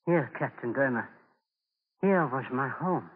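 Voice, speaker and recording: A man's voice: medium pitch, animated, a little slow. Solemn, homesick Native man, old radio. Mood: urgent searching. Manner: solemn, simple formal phrasing. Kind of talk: 1940s radio drama acting. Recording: thin, narrow-band 1940s radio broadcast.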